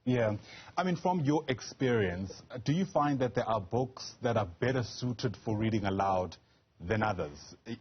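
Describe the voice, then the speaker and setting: A man's voice: medium pitch, husky. A man interviewing on a news programme in a reflective, formal yet conversational way. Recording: slightly dull, lo-fi audio.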